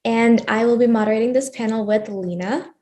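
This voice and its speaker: Teen female moderator, American. Fairly high voice, delivering prepared interview questions. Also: steady tone, brisk, dark tone. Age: teens, high school sophomore.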